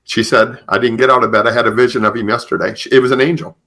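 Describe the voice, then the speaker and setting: A man hosting a podcast, telling stories conversationally in a halting way with repeated phrases. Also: mid-range voice, steady tone, a bit bright. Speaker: podcast host.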